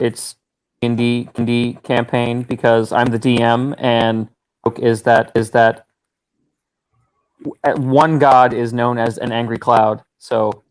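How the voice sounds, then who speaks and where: A man from Florida, a dungeon master guest on a casual podcast, talking in a measured, slightly halting way. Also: medium pitch, dry recording, rough recording. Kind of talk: casual group podcast chat.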